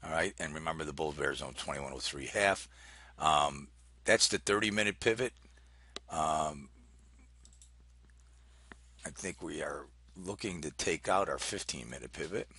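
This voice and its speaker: A man giving informal, unscripted market commentary as an analyst, talking haltingly as he thinks aloud and reads out numbers. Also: very deep voice, bright, forceful tone, slightly rough. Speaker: market analyst hosting a trading briefing.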